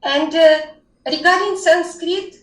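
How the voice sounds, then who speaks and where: Kazakh-accented woman, slow and hesitant. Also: very high-pitched. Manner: hesitant, many fillers, earnest. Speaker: guest, dance teacher. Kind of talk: casual interview answers. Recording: roomy echo, distant from mic.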